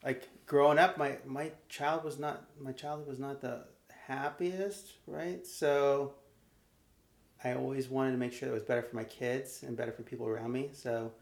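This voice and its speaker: A man being interviewed, answering with pauses, fillers and laughs, who grew up in Nevada. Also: medium pitch, steady tone, vocal fry, echoey room. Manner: hesitant, laughing, lots of fillers. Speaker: interview guest. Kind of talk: casual conversation.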